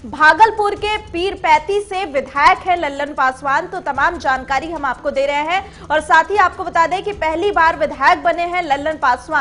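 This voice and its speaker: A woman anchors live television news in a very high voice. She speaks emphatically and circles back over her phrases as she goes. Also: fairly bright tone.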